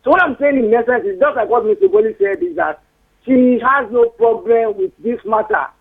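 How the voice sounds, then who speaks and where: A Nigerian man with a Yoruba accent phones in and argues his view, pushing back and saying things twice. His voice is very high for a man, gravelly and husky. It comes through a thin, tinny phone line. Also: big pitch swings, fairly quick. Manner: insistent, repeats himself, argues back. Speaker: caller. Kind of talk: phone-in opinion.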